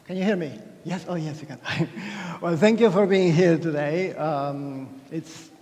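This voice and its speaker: A man's voice: a little high, unhurried, a little mellow. A man from Argentina giving a chatty, self-deprecating keynote talk at a conference, with many restarts. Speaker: conference speaker. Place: large conference hall. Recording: echoey hall with long reverb.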